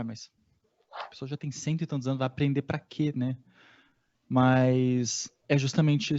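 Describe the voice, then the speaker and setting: A male podcast host speaks at a mid pitch, engaged and curious as he responds to his guest and draws her out with questions. The recording sounds a little muffled and dull. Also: darkish tone. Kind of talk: podcast interview.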